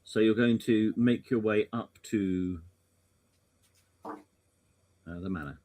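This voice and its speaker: A man improvising narration as a tabletop game master in a halting, hesitant way, often pausing mid-sentence. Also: fairly low pitch, dark tone, no echo, recorded from a distance.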